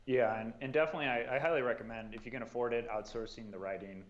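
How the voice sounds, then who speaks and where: A man at an ordinary middle pitch, talking through advice in a relaxed, easygoing way and leaning on "um" and "you know" as he goes. His audio sounds a little dull and muffled, like a remote call. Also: fast. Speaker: guest, marketing and sales coordinator. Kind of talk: casual podcast discussion.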